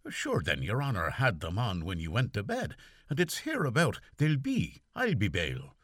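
A man's voice: fairly low pitch, huge pitch swings, normal speed, a bit bright, dry recording, studio quality, voice pushed up, heavily acted. A man voicing a cautious manservant, deferential and wheedling, in an audiobook. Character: cautious Irish male manservant. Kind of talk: audiobook character voice.

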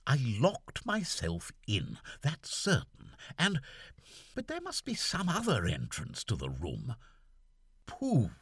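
A man voicing an excitable young army lieutenant who keeps exclaiming in exasperation, in an audiobook. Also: mid-range voice, takes it slowly, slightly rough, dry recording, studio quality, voice pushed up, heavily acted. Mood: musing. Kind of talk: audiobook character voice.

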